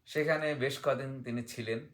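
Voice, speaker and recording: A man telling folk tales in a conversational way, pausing often with fillers and small check-in questions. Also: medium pitch, fairly even intonation, a bit bright.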